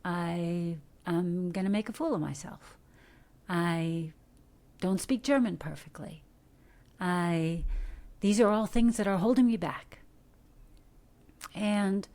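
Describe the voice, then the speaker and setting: A middle-aged American woman from Detroit, an actor giving earnest, coaching advice in a podcast interview, animated with rhetorical questions. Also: medium pitch, creaky. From Detroit, USA. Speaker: guest, actor. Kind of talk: podcast interview, motivational talk.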